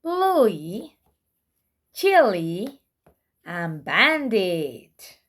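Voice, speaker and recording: A woman presenting and reading picture books for toddlers, speaking simply and deliberately, word by word. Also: mid-range voice, sing-song, a bit bright. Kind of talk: slow read-aloud for toddlers.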